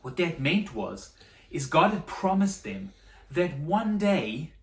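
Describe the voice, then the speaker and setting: A storyteller telling a Bible story to children, with dramatic pauses and repetition for emphasis. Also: mid-range voice, very animated. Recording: echoey room, distant microphone.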